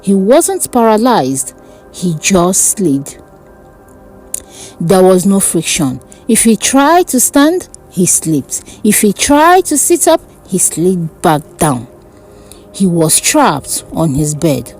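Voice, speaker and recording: A woman narrating a dark fantasy folk tale in a dramatic, deliberate way. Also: on the low side, sing-song, slightly rough, low-quality recording. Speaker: storyteller. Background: background music.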